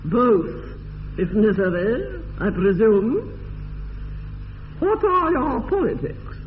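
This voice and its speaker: An actress playing an imperious older English aristocrat in a radio comedy, with an upper-class English accent and pompous, deliberate enunciation. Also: female voice, fairly high pitch, sing-song. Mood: brisk disapproval. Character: haughty, domineering older aristocratic English lady. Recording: dull lo-fi radio recording, faint noise.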